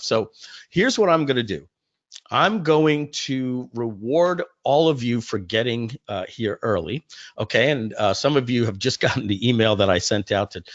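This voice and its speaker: A man hosts a live webinar in a casual, chatty way. His mid-pitched voice is a little hoarse, and it comes through close and dry with a slightly muffled sound. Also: no echo. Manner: chatty, informal, addressing the audience directly. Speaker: company founder hosting a webinar.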